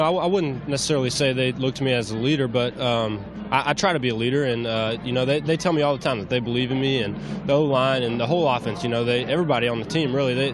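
A young man, a freshman quarterback, answering postgame questions on the field into a handheld mic, full of fillers. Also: mid-range voice. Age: young adult. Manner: quick, many you-knows. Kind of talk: postgame interview. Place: outdoor stadium at night. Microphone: handheld microphone. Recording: crowd noise at stadium.

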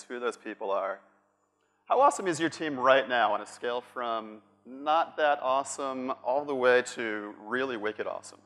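A man's voice: medium pitch, a bit bright. A middle-aged man from Boston with a Boston accent, giving a playful, chatty workshop talk at a conference and asking the audience questions. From Boston, United States. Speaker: conference speaker. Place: large conference room on stage.

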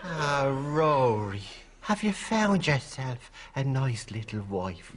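A woman's voice: very deep voice, very animated, slow, heavily acted. A woman playing a spirit medium who channels a dead, drunken husband in a theatrical sitcom séance. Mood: familiar, wandering. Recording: clearly noisy live studio sound.

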